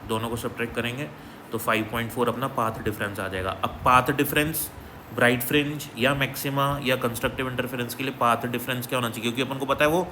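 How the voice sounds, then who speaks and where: A man's voice: medium pitch, steady tone, fast, bright, forceful tone, slight background noise. A male physics teacher working through an exam problem step by step in a patient, explanatory way, often checking in with his listeners. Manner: explanatory, repeats "ठीक है" as a check-in.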